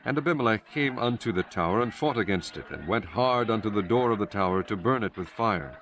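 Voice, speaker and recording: A man narrating a dramatized Bible audiobook in measured, solemn storytelling with archaic diction. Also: lowish voice, expressive, fairly bright tone. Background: prominent music.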